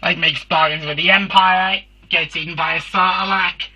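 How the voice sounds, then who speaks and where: A performer voicing a Dalek, a robotic alien warrior hosting a fan review show, with a harsh filtered voice and halting, emphatic delivery. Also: medium pitch, fairly even intonation, pushed and bright, breathy edge, rough recording.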